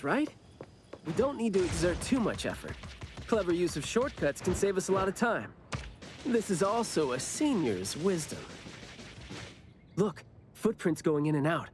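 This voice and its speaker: A glib anime game captain who shirks work. His delivery is breezy and teasing, with a lively, swinging pitch, as if every excuse were perfectly reasonable. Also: unhurried, music in the background. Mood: playfully smug. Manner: breezy, teasing, self-justifying.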